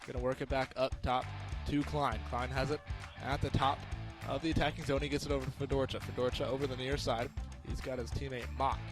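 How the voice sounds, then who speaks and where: A young American man calling live sports play-by-play for radio in an excited, emphatic manner. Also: mid-range voice, a little fast, music in the background. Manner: excited, emphatic, rising to shouts on big plays.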